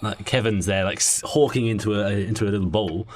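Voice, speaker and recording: A man co-hosting a podcast in casual comedic brainstorming, halting as he thinks aloud. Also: lowish voice, fairly even intonation. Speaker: podcast co-host.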